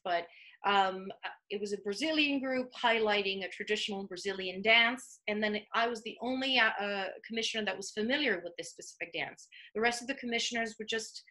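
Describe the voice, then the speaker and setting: A woman in her thirties from Serbia with a Serbian accent, speaking haltingly with many fillers and restarts in a video call interview from a small home office. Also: mid-range voice, sharp tone. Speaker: art commissioner. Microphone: video call webcam.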